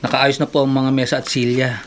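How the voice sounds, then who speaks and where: A man's voice: mid-range voice, little pitch movement, a little fast, slight background noise, lightly acted. A Filipino man playing a humble, dutiful young assistant in a radio drama. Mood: deferential.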